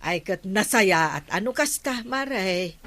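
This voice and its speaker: Kindly Ilocano village woman, slow pace, gossipy, drawn-out interjections, radio comedy drama. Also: mid-range voice, animated, a bit bright. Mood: earnest, agreeable. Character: kindly, agreeable village woman who settles her debt.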